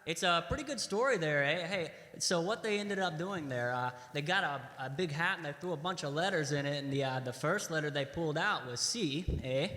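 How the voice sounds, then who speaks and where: Eager, hesitant Canadian yarn-spinner male actor, comedy stage sketch, high voice, big reverberant room. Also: fairly quick, few pauses, bright, forceful tone. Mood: folksy, rambling. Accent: exaggerated Canadian. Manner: hesitant, folksy, ends phrases with "eh". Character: folksy Canadian storyteller man, rambling and eager. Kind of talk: live comedy sketch storytelling. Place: large hall, live stage show. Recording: echoey hall, live stage sound.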